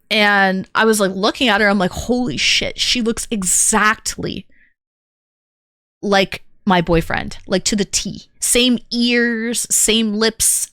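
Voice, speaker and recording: A woman's voice: fairly high pitch, sharp tone, no room sound, clean studio sound. A woman streamer telling a personal story to her chat, candid and rambling with lots of fillers. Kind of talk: casual chat to stream viewers.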